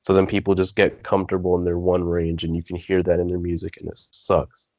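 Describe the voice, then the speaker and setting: A man's voice: sits low, completely flat delivery, brisk, mellow, dry recording. A nineteen-year-old man giving earnest, rambling advice as a guest on an interview. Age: teens. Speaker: guest musician. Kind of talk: casual interview. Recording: dull, dry remote line.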